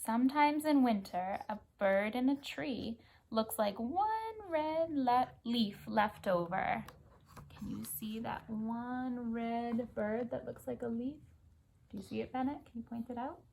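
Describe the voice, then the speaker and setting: An American woman reading a picture book aloud for children and chatting gently, often prompting a young child with questions. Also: fairly high pitch, a little slow, vocal fry, slight background noise. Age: adult. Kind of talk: children's story read-aloud with gentle chat.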